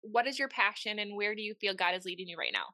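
A woman's voice: mid-range voice, fast talker, sharp tone, no echo. A woman hosting a faith-based podcast, enthusiastic and fond of telling stories. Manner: enthusiastic, storytelling. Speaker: podcast host.

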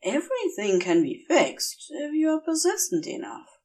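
Woman voicing a dazed, airy, gentle male angel. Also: sits high, wildly swooping pitch, normal speed, pitched above the performer's own voice, full character voice. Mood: reassuring. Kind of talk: acted audiobook dialogue.

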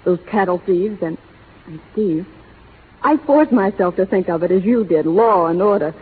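An actress playing an anxious young sweetheart, breathless and melodramatic in the manner of 1930s radio drama. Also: female voice, lowish voice, lively intonation, moderate pace, breathy edge. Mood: pleading. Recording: tinny, reverberant 1930s radio broadcast.

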